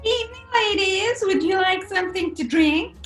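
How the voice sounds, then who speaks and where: A woman acts an offhand waitress in a 1940s radio comedy. The voice is extremely high and squeaky. It sounds far from the mic in an echoey space, with faint music. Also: lively intonation, normal speed.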